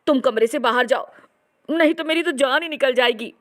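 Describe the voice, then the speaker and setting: Woman playing young husband, disgusted, fussy, dramatic complaining, lifted pitch, family comedy story. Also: normal speed, natural voice. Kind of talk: acted dialogue in a Hindi family comedy story.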